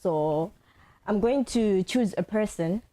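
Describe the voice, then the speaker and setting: A woman's voice: mid-range voice, slow, dark tone. A young woman hosting an informal, friendly group chat. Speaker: talk show host.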